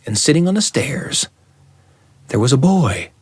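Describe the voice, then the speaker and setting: A middle-aged man tells a story in a dry, close recording. His pitch moves widely as he builds suspense, with deliberate pauses and a dramatic delivery. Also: very animated, breathy edge, no echo. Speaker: storyteller and show host. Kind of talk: dramatic first-person oral storytelling.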